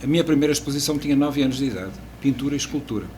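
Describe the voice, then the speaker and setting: A man's voice: mid-range voice, racing, a little mellow, slight background noise. A man in his sixties from Portugal with a European Portuguese accent, a podcast guest telling his life story with restarts and hesitant fillers. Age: 60s. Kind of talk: casual podcast chat.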